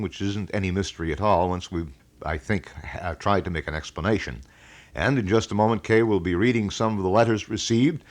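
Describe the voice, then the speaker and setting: A man with a very low voice and an American accent speaks as a local radio host. His phrasing is formal and long-winded, in the manner of an old-fashioned announcer addressing listeners from a studio. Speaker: radio talk show host. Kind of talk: live local radio broadcast, formal announcing and reading letters.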